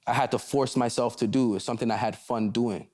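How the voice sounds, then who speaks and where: A man's voice: mid-range voice, fairly even intonation, a little fast, darkish tone. A young man from Canada, a rapper, chatting loosely in an interview and often checking in with "you know". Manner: laid-back, loose, repeats "you see what I'm saying". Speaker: rapper guest. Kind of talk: casual interview chat.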